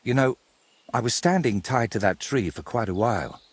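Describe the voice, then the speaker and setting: An actor playing a wry, courteous, middle-aged medieval painter in a video game, with a husky voice and a wordy, theatrical way of talking. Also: male voice, mid-range voice, expressive, moderate pace. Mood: grateful, weary. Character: wry, wordy ageing medieval painter, robbed but polite. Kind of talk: game cutscene dialogue.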